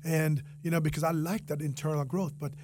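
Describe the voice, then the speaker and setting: Higher-voiced Jamaican man in an interview. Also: flat delivery, a little fast, darkish tone, slight background noise. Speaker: interview guest, restaurant franchisee and entrepreneur. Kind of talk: conversational radio interview.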